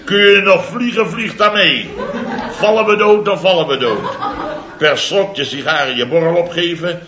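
A man's voice: normal speed, a crowd in the background, natural voice. An actor playing a pompous, blustering company boss in his fifties, in a 1960s radio comedy. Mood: grumbling. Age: 50s. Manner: bombastic, theatrical, swinging from booming to muttering. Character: pompous blustering fifty-something male building-firm boss. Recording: dull, roomy vintage radio recording.

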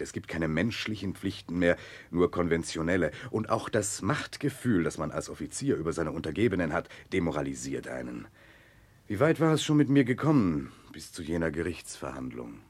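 An actor playing an earnest, remorseful Russian nobleman in a radio drama, speaking in a grave, reflective, husky voice. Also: very deep voice, lively intonation, fairly quick, dry recording, full character voice. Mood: earnest, brooding. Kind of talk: German radio play acting, part dialogue, part letter narration.

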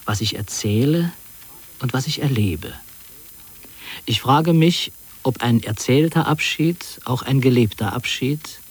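A man playing a reflective narrator who recalls his wartime youth in measured, literary radio play narration. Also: medium pitch, a little mellow, husky. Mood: pensive. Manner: measured, reflective, carefully phrased. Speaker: autobiographical narrator.

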